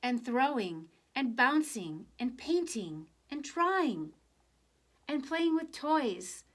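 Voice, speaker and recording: A woman reading a picture book aloud and chatting to children, speaking in an expressive, gentle and direct way. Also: high-pitched, slow pace. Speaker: children's story reader for a church. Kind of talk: picture book read aloud, then informal reflection to children.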